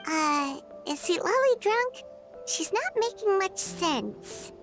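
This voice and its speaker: Game casting: tiny nosy fairy sidekick, extremely high, cutesy, wildly animated, over music. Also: female voice, slow pace, full character voice. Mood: cheeky, doubtful. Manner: cutesy, speaks of self in third person. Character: tiny childlike fairy companion, chirpy, nosy, cheeky. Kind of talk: video game voice acting.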